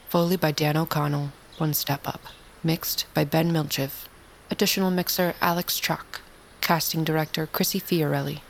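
A woman's voice: low voice, a little hiss or hum. A woman working as an announcer, reading scripted production credits.

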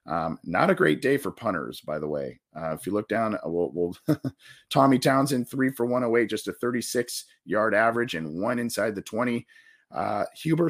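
A man with a raspy, hoarse voice reads through football stats on a podcast. His voice sits low, but he stumbles and restarts often, then jumps up sharply when a number excites him. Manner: halting restarts, animated jumps in pitch on big numbers. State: hoarse, scratchy throat. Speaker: sports podcast host. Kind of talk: postgame stat breakdown.

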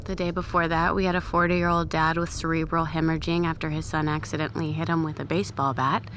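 A woman's voice: deep voice, level tone, fast, fairly bright tone, a little hiss or hum. A woman playing a blunt, sardonic, weary emergency-room nurse with a morbid streak in an audio drama. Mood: grim, matter-of-fact. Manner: blunt, matter-of-fact, sardonic. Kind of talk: audio fiction acting.

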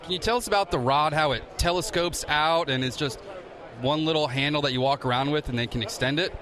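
A man's voice: a little high, a little fast, noisy background. A man hosting casual interviews for his podcast, asking friendly, chatty questions.

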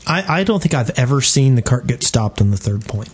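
A man's voice: medium pitch, sing-song, fairly quick, breathy edge. A man hosting a podcast, casually talking over game strategy.

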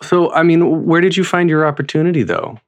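A man's voice: a little high, fairly quick. An American man from North Carolina hosting a casual conversational interview, with hesitant, rambling phrasing. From North Carolina, United States. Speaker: interviewer, host.

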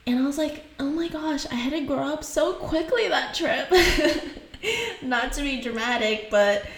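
A woman's voice: high voice, animated, unhurried, roomy sound. A young woman from Haiti whose first languages are French and Creole, chatting casually as a podcast host and laughing often.

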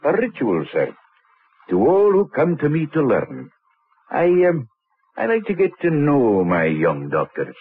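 An actor in an old radio drama playing a middle-aged, pompous, evasive anatomy professor, fussy and hesitant, then imperious. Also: male voice, medium pitch, wildly swooping pitch, measured pace, husky, full character voice. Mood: genial. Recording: tinny, band-limited vintage radio recording.

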